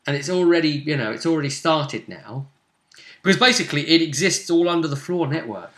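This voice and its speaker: Bright-voiced man running a tabletop game. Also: a little high, expressive, fairly quick. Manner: conversational, addresses the player with tag questions. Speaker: game master. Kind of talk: casual recap narration of a tabletop roleplaying game.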